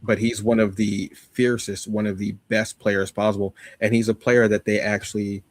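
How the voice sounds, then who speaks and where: A man's voice: fairly low pitch, flat delivery, normal speed, dry recording. A man co-hosting a podcast, chatting casually and enthusiastically with slangy emphasis as he gives recommendations. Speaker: podcast co-host. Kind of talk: casual chat, giving recommendations.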